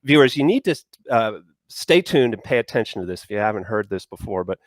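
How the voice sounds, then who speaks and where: A man's voice: fairly low pitch, expressive, brisk, no room sound. A man hosting a talk show, speaking in rambling, stop-start phrases with many fillers. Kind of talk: casual talk show conversation.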